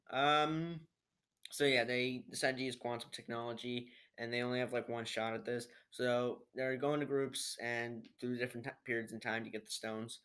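Teen male vlogger, clean-toned and medium-pitched, talking off the cuff in a halting, rambling way, as in a homemade webcam review. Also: fairly bright tone, clear, resonant tone. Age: teenager. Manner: hesitant, rambling, trailing off. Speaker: amateur video reviewer. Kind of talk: casual unscripted movie review vlog. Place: living room at home, indoors.

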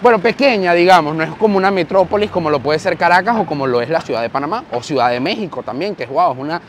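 A man's voice: fairly high pitch, fast talker. A young man with a Venezuelan accent, vlogging animatedly to a handheld camera as he walks along a city street, wearing a lapel mic. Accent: Venezuelan Spanish. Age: young adult. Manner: animated, gestures while walking and talking to camera. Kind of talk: walking vlog storytelling. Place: busy city street outdoors with traffic. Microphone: lapel mic on shirt, handheld camera. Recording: faint street and traffic noise.

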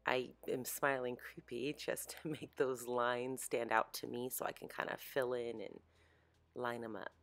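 Very deep-voiced, slightly hoarse young woman. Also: flat delivery, a little slow. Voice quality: deep voice, congested from allergies. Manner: informal, chatty, hedging with "like". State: slightly hoarse, sore throat, allergies. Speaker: makeup tutorial creator. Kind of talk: casual makeup tutorial vlog. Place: small home art studio, indoors. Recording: roomy echo, music behind.

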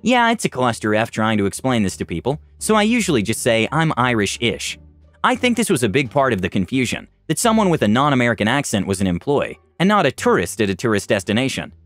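A man reads online stories aloud in a scripted narration, his pitch climbing and dropping a great deal as he moves through them. The voice is close and dry with no room sound. Faint music plays behind him. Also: fast talker, dry recording. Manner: lively storytelling with shifting voices for quoted people.

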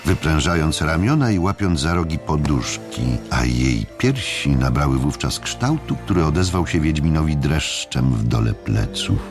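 A man narrating a literary story dramatically, with measured, deliberate phrasing and pauses. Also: very deep voice, lively intonation, music in the background. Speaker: audiobook narrator.

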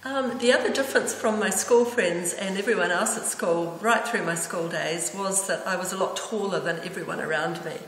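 A woman's voice: fairly low pitch, normal speed, few pauses, a bit bright. Older New Zealand woman in an echoey room. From Auckland, New Zealand. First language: Danish. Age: 60s. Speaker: interviewee. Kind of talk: personal reminiscence to camera. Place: indoors, hall-like room with hard surfaces. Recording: echoey reverberant room, clear background noise.

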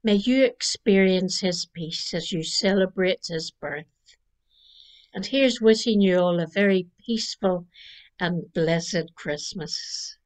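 An older woman minister from Northern Ireland giving a gentle Christmas Eve reflection from her living room, speaking into a webcam. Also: lowish voice. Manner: slow, gentle preaching cadence. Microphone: webcam at desk distance.

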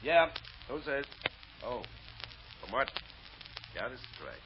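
An actor playing a gruff, no-nonsense police lieutenant in old radio drama style, curt and brisk. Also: male voice, mid-range voice, sing-song. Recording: muffled, noisy old radio recording.

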